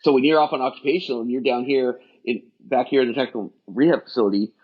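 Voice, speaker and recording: A man's voice: mid-range voice, breathy edge. A middle-aged man, a guest on a podcast, talking in long, rambling run-on stretches in casual conversation. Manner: rapid, rambling run-on talk. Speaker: guest, firefighter rehab specialist. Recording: dull lo-fi audio.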